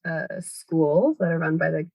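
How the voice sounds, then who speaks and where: A woman's voice: sits low, measured pace, breathy edge, no echo, muffled recording. A woman guest on a podcast interview, giving long, thoughtful answers full of hedges like "kind of" and "sort of". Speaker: podcast guest, language education organizer. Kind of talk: explanatory conversational interview answers.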